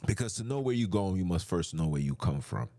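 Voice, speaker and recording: A man's voice: deep voice, animated, brisk, dark tone, no room sound. A man hosting a podcast, delivering an impassioned, preachy monologue straight to his listeners, often repeating himself for emphasis. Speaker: podcast host.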